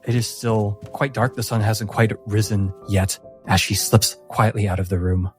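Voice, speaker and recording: A man narrating a tabletop game as game master, telling the story dramatically with deliberate, suspenseful pauses. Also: on the low side, fairly even intonation. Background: music.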